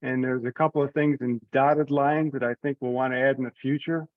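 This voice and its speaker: A man's voice: mid-range voice, fairly even intonation, brisk, dry recording. A man informally presenting slides in an online meeting, thinking aloud in a hesitant way. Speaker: telecon presenter walking through slides. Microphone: online conference call. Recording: narrow tinny conference-call line.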